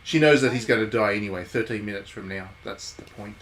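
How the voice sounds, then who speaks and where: A man's voice: on the low side, fast. A man giving casual live commentary as he streams a game, rambling and thinking aloud. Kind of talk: casual live commentary on a visual novel playthrough. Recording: steady background noise.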